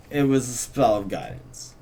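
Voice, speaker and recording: A man's voice: medium pitch, big pitch swings, a little slow, dark tone, a little hiss or hum, voice pushed down. A man voicing a wry, patronizing witch with an old soul, full of fond endearments, in a script read-through. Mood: dry, wry.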